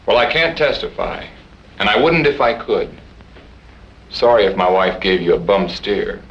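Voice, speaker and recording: Casting: a wry frontier witness, lowish voice, sardonic, roomy and muffled vintage radio sound. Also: male voice, animated, moderate pace, creaky, fairly bright tone. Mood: evasive, dry. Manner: dry, sardonic. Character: wry, evasive husband refusing to testify. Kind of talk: old time radio western acting. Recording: muffled, echoing old radio recording.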